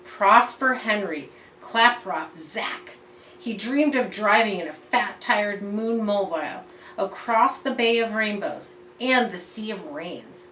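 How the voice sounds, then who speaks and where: A woman reading a picture book aloud to children in an expressive, teacherly way, pausing to explain and point things out. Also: mid-range voice. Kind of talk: read-aloud storytelling with explanatory asides. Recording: roomy echo, distant mic, faint background noise.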